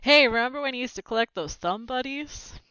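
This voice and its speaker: Woman voicing a loud, high-pitched teasing boy. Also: animated, fairly quick, clean tone, dry recording, muffled recording. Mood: mocking. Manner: loud, taunting, exclamatory. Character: rowdy, nosy, teasing gang of young boys. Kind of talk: storybook character voices.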